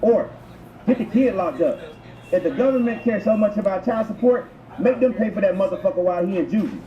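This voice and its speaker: A man's voice: sits high, lively intonation, fairly quick. A man delivering crude comedic mock tutorials in a profane, slangy, instructional manner. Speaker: prank tutorial narrator. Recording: echoey, muffled, noisy intercom playback. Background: public transit ambience.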